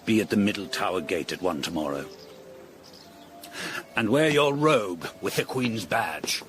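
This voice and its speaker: An actor playing a stern, commanding lord of the Tudor court in a radio drama, curt and threatening. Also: male voice, on the low side, lively intonation, measured pace, music in the background. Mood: cold warning. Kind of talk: period radio drama acting.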